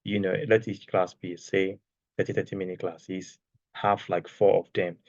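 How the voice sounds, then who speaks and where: A soft-spoken man teaching a casual online class while sharing his screen, talking loosely and checking in with "okay?". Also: low-pitched, level tone, moderate pace, dry recording, highs cut off. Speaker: course creation instructor. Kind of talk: screen-share tutorial, casual. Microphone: external microphone.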